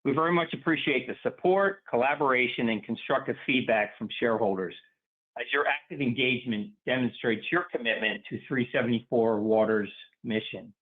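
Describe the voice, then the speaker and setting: A man's voice: mid-range voice, a little fast. A man speaking as a chief executive, delivering formal prepared remarks. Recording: thin phone-like conference line.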